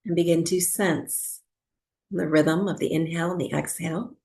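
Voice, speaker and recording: A woman with a fairly low voice and a strong creak leads a guided meditation as a yoga teacher. Her delivery is soft and soothing, with unhurried phrases and long pauses that suit winding down for sleep. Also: fairly even intonation.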